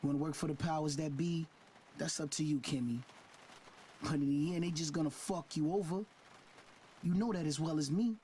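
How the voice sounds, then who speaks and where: A man's voice: on the high side, steady tone, a little fast, pauses often, warm tone, slight background noise, close to an everyday voice. A man playing a bitter, defiant American teenage gang member in a video game drama. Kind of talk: video game cutscene acting.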